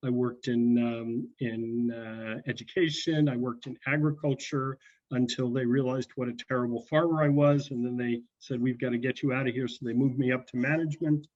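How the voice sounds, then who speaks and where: Man in his sixties, halting with ums and uhs, video call audio, muffled. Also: mid-range voice, fairly even intonation. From North Carolina. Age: 60s. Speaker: guest speaker. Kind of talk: personal talk to a congregation.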